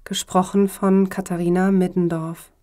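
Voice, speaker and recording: A woman speaking as a yoga teacher, guiding a relaxation meditation in a calm, measured, instructional way. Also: on the low side. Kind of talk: slow guided meditation narration.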